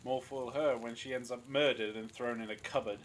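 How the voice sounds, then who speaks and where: A man's voice: medium pitch, fairly even intonation, moderate pace, a little hiss or hum, close to an everyday voice, poor audio. A man playing a suspicious, scornful captive in an audio drama, sardonic and dismissive. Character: suspicious, scornful male abductee, cynical and sarcastic.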